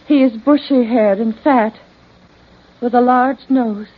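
An actress playing an entranced woman in a vintage crime radio drama, speaking in halting, trancelike short phrases. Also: on the high side, steady tone. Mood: dazed, trancelike. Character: entranced woman reliving deaths, dazed then frantic. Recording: dull lo-fi old radio recording.

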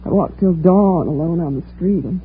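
A woman's voice: lowish voice, normal speed, slight background noise, close to an everyday voice. A woman playing a frightened, anguished wife in old-time radio drama, with a melodramatic, hesitant delivery. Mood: shaken. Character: frightened, anguished wife fleeing her murderous husband. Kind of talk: 1940s radio acting, dramatic narration and dialogue. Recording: tinny old radio recording with music.

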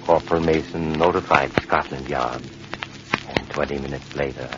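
An actor playing a measured, ominous doctor who narrates macabre tales on an old radio mystery show. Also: male voice, rumbling low, steady tone. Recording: muffled, hissy old radio recording.

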